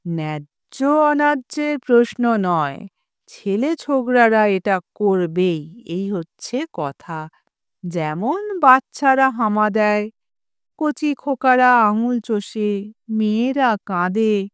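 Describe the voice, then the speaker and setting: A woman voicing a calm, suave gentleman neighbour in an audio story, softly spoken and gently mocking. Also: medium pitch, slow pace, clear, resonant tone, no room sound, studio quality. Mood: wry. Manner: unruffled, soft, gently mocking.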